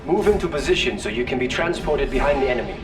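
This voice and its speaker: An actor playing a brisk, determined Japanese field commander in a war game, terse and commanding. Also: male voice, medium pitch, expressive, close to an everyday voice. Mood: urgent, commanding. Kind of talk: video game character dialogue. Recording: distant sound under game music. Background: game music.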